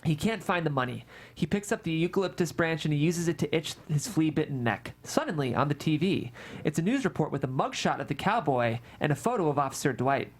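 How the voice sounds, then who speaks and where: Animated screenplay narrator. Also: medium pitch, brisk. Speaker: stage-direction narrator. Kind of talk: scripted narration at a table read.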